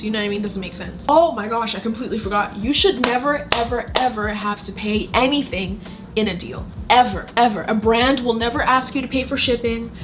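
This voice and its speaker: A young woman from Zimbabwe talks straight into her phone camera in a relaxed vlog. She gives advice in a chatty, emphatic way and leans on casual fillers. Her voice sits at a middle pitch for a woman. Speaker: content creator.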